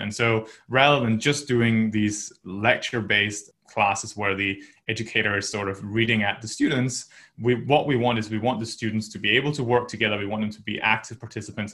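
Danish-born guy, kinda low voice, earnest podcast guest explaining stuff, restarts a bit. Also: sharp tone. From Denmark. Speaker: tech company founder, guest. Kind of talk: podcast interview answers.

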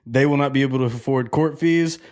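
A man's voice: mid-range voice, fairly quick, a little mellow. A man in his twenties hosting a podcast, telling a long story in a loose, joking way and swearing freely. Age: 20s. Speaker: podcast host. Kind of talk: casual chat.

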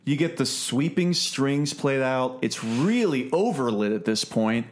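Male podcast host, mid-pitched, casual film-review chat, conversational delivery. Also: expressive, a little slow.

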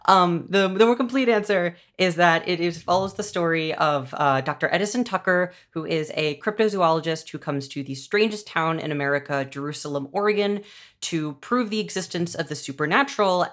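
A woman's voice: low-pitched, fairly bright tone. A woman podcast host chatting informally and enthusiastically, with a wry, effusive manner. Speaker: podcast host and audio drama creator. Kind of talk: casual enthusiastic interview chat.

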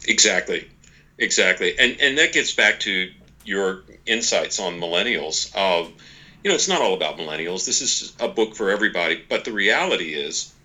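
Forceful low-voiced man in his forties. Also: low-quality recording. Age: 40s. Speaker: podcast guest, author and organization leader. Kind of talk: conversational interview, explaining workplace ideas.

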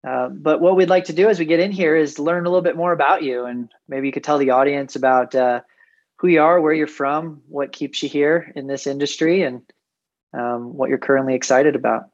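A man's voice: fairly high pitch, steady tone, fairly quick, no echo, muffled recording. A man hosting an interview podcast, introducing and questioning his guest. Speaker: podcast host.

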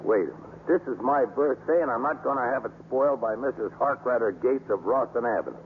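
An actor playing a gruff but good-hearted baseball manager in 1950s radio style, plainspoken and slangy with some bashful hesitation. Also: male voice, medium pitch, animated, fast talker, close to an everyday voice. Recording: noisy, muffled 1950s radio broadcast.

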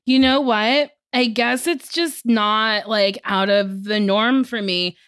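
A woman co-hosting a podcast in casual chat, slightly tipsy from drinking, frank and swearing freely with animated asides. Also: fairly high pitch, slow pace, clean tone, no room sound, clean studio sound. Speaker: podcast co-host.

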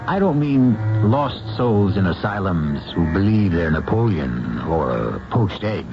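A man hosting a radio drama with theatrical, deliberate scripted narration and a rhetorical, dramatic manner. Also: sits low, sing-song. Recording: muffled old radio, loud music behind.

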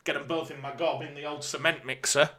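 A man's voice: a little high, steady tone, a little fast, sharp tone. A British man co-hosting a podcast, reading out listener questions and bantering in a jokey, colloquial, casual way.

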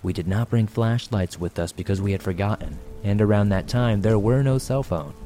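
A man's voice: fairly low pitch, moderate pace, dark tone. A man reading a listener's horror story as scripted narration, with faint music and rain behind him.

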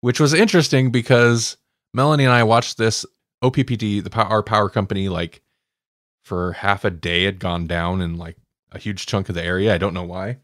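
A man's voice: on the low side, steady tone, a little fast, fairly bright tone, dry recording, studio quality. A man co-hosting a podcast, talking through stories in an informal, conversational way as if thinking aloud. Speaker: podcast co-host. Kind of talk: casual discussion and recap of audio dramas.

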